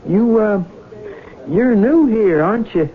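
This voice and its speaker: A man's voice: high-pitched, animated, takes it slowly. An actor playing a shy, earnest young country man, hesitant and folksy, in a 1970s radio drama. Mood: nervous, bashful. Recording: tinny 1970s radio broadcast, faint music.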